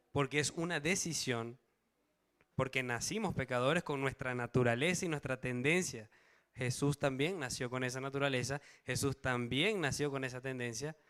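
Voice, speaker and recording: A man's voice: mid-range voice, a bit bright, smooth. A man preaching to a church congregation. He reads out verses, asks the congregation questions and checks in with them. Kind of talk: Bible study sermon to a congregation.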